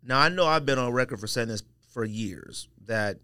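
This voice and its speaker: Man, podcast co-host, very lively pitch, bright punchy tone, slangy, opinionated, casual banter. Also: normal speed, clear, resonant tone, no echo, studio quality.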